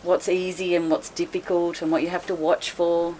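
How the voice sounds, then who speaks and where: A woman's voice: on the low side, moderate pace, slight background noise, rough recording. A woman, a guest boat owner, chatting casually and laughing as she tells stories. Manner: chatty, laughs often, lively retelling. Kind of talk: casual storytelling chat.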